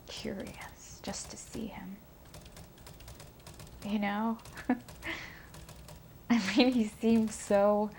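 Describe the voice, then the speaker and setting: A woman's voice: medium pitch, gravelly. An actress playing a reflective woman giving a hesitant, confiding account in a naturalistic documentary interview style. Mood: wistful, hushed. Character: reflective woman recounting meeting her secret half-brother.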